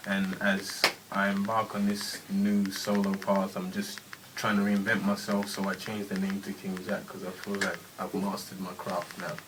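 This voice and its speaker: A man in his late twenties from Zambia, a rapper guest speaking in a relaxed, easygoing way in a radio interview. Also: deep voice, normal speed. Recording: distant mic with background noise.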